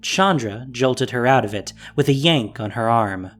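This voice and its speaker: A man's voice: medium pitch, lively intonation, moderate pace. A man reading scripted fantasy story narration as the narrator of an audio drama. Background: music.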